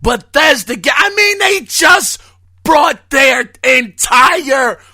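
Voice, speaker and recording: Man, podcast host, hoarse, excitable, shouts a lot, very high voice, slow delivery. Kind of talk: casual podcast monologue.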